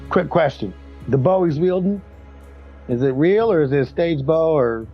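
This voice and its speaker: A man playing a tabletop game, asking quick eager questions. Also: a little high, animated, soft background music. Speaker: player. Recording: dull lo-fi audio.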